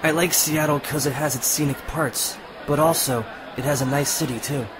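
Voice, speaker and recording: A man playing a friendly, easygoing teenage boy in an amateur audio drama, casual and relaxed. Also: fairly high pitch, normal speed, lightly acted. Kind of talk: amateur radio drama acting. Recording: noisy, busy crowd ambience behind.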